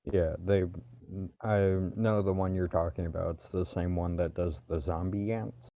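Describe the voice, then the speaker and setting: A man's voice: low-pitched, steady tone, normal speed, vocal fry, dry recording. A man co-hosting a casual podcast chat, speaking unhurriedly and bluntly. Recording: dull lo-fi mic.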